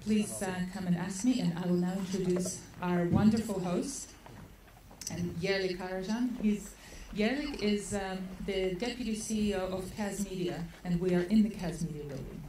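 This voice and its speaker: A woman's voice: medium pitch, moderate pace. A woman from Australia, an event organizer giving chatty opening remarks at a seminar, laughing often and using many fillers. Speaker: event organizer introducing speakers. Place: conference hall. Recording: echoey hall, far from the mic, noisy.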